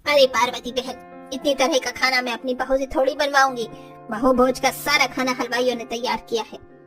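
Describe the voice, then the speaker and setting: A woman's voice: high-pitched, steady tone, normal speed, a bit bright, recorded from a distance, faint music behind. A woman voicing a haughty, boastful rich mother-in-law in a moral story, with a condescending manner. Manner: condescending, gossipy.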